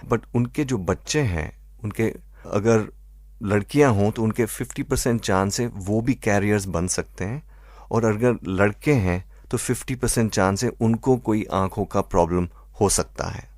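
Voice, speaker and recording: A man with an Indian accent answering as an expert doctor in an interview, measured and methodical, mixing in English phrases. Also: fairly low pitch, darkish tone, no room sound, studio quality. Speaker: eye doctor, expert guest.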